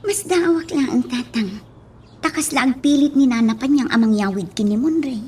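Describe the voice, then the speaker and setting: A woman playing a gentle, caring young village woman in a radio drama, with an Ilocano accent. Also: high-pitched, moderate pace, breathy edge. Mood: reasoning.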